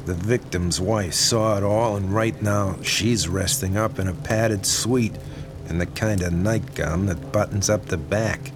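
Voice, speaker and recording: An actor playing a crooked police lieutenant in a radio drama, dry and sardonic. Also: male voice, on the low side, unhurried, close to an everyday voice. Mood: wry. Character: wry bribed male police lieutenant. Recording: radio drama with music behind.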